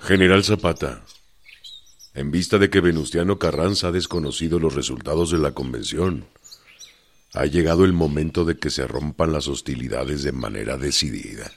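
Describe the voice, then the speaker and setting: An actor playing a commanding revolutionary general dictating a formal letter of orders in a Spanish-language radio drama. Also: male voice, rumbling low, normal speed. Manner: authoritative, formal.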